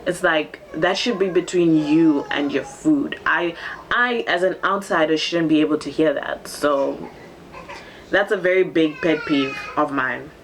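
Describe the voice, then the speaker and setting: A bubbly teenage girl from Zambia, raised in Cape Town, chats through a casual get-to-know-me vlog. Her voice sits unusually low for a young woman. She fills pauses with ums, and a faint hiss of room noise runs underneath. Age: teens. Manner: chatty and bubbly, with frequent ums and laughs. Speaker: vlogger.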